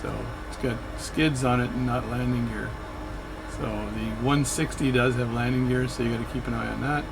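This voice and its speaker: A man's voice: mid-range voice, steady tone, normal speed, vocal fry, noisy background. Guy rambling casually through a flight sim run, keeps correcting himself, ac humming behind. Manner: rambling, self-correcting, chatty. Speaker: flight sim video creator. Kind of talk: casual narration while playing a flight sim.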